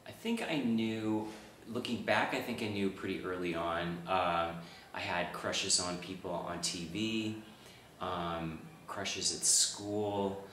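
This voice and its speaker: A man in his forties thinks aloud hesitantly in a documentary interview. His voice is deep yet bright and forceful in tone. The recording is roomy and set back from the mic. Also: slow pace, distant mic, a little hiss or hum. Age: 40s.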